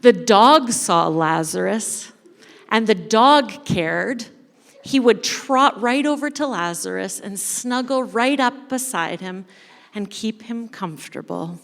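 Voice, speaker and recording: A woman leading a live dramatic reading as a church storyteller, with playful, emphatic phrasing and pauses. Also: mid-range voice, expressive, vocal fry, fairly bright tone. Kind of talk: animated storytelling to a live audience, with asides to participants. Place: large indoor church room. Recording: reverberant hall, live event sound.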